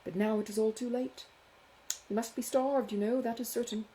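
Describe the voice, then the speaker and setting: A woman voicing a foppish, self-satisfied young gentleman in a classic novel, with an affected, gushing, condescending manner. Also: on the high side, steady tone, moderate pace, slight background noise, voice pushed up, close to an everyday voice, poor audio. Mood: smug disdain. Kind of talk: dramatised audiobook dialogue from a classic novel.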